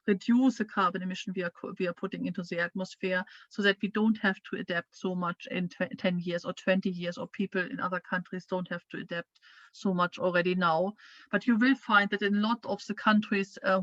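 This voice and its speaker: A woman's voice: mid-range voice, no room sound, highs cut off. A woman with a German accent giving an online training presentation, speaking hesitantly with many fillers and restarts. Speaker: climate training lecturer.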